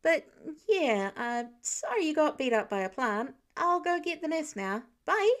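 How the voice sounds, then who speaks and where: Woman voicing an excitable high-pitched teenage boy superhero. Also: measured pace. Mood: nervous, eager. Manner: rambling, eager, youthful.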